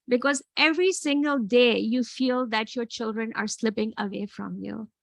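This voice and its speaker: A woman in her forties with a background and a fairly high voice with a gravelly edge. She speaks with earnest reflection, pausing and restarting often. She moves between personal talk and readings from her own book in a dry, close-sounding space. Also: no room sound. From Karachi, Pakistan. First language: Urdu. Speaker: author and guest speaker.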